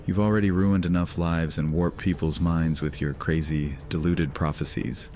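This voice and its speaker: A man's voice: sits low, fairly even intonation, normal speed, a little mellow, slight background noise, close to an everyday voice. A man playing a brooding, defiant outcast vampire in a fantasy audio drama, with a sardonic way of talking. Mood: defiant, annoyed. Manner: sardonic, hesitant fillers turning defiant. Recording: music under the voice.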